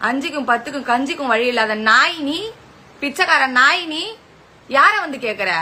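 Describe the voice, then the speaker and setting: Piercingly bright, high-pitched woman scolding furiously. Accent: colloquial Tamil Nadu. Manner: abusive, threatening, scolding. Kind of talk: angry informal rant addressed to a rival.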